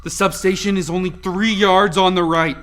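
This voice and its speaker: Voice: mid-range voice, fairly even intonation, moderate pace, a bit bright, faint music behind, close to an everyday voice. A performer playing an urgent scientist in a radio play, intense and stammering under pressure while guiding a colleague through danger. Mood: urgent coaching.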